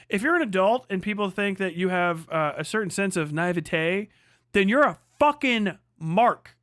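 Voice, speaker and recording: A man with a notably high voice delivers off-the-cuff livestream commentary in a close, dry sound. He rants combatively, swears freely and hammers points home by repeating them. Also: a bit bright, dry recording. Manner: profane, combative, ranting; emphatic repetition of phrases. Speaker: livestreamer reacting to a video.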